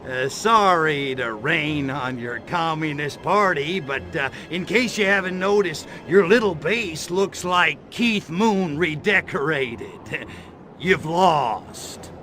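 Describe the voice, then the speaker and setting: An actor playing a cocky, wisecracking alien invader in a video game, crude, flirty and sarcastic, with a drawling delivery. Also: male voice, on the high side, expressive, slow pace, bright, forceful tone, heavily acted. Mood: cocky taunting. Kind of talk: video game cutscene acting.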